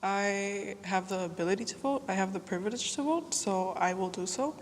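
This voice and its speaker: A woman's voice: mid-range voice, normal speed, a little hiss or hum. A woman speaking earnestly and informally, with many fillers, in a group discussion. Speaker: discussion participant. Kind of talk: debate discussion. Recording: roomy hall reverb, faint ambience.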